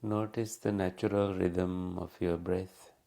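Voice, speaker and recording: A man leading a guided meditation as a teacher, speaking calmly and unhurriedly with long pauses. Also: low-pitched, gravelly.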